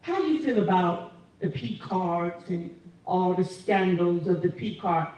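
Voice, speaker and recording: A woman's voice: on the low side, lively intonation, measured pace, mellow. A woman, asking questions in a chatty, informal way as interviewer. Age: 60s. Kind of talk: casual community interview. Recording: echoey room from a distance.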